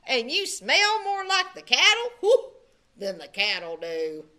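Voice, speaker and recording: A woman's voice: sits high, huge pitch swings, a little slow, pushed and bright, full character voice. A woman voicing a mean young cowboy who mocks his stepbrother in a storybook. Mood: mocking.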